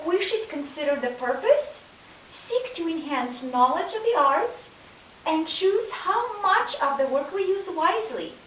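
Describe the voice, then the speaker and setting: A woman puts on an eager young student puppet with an extremely high, swooping voice. The lines come out slowly and earnestly, like a recited classroom script. It is picked up from a distance in a roomy, hissy space. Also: fairly bright tone, heavily acted. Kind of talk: scripted puppet show dialogue.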